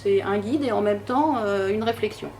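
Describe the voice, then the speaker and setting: A woman interviewer calmly summarizing a book for the audience. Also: mid-range voice, normal speed, a little hiss or hum.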